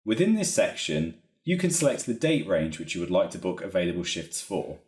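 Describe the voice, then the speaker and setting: A man narrating a software training walkthrough in a methodical, explanatory way. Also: sits low, very animated, brisk. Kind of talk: instructional screen-recording narration. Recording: roomy, echoey voice recording.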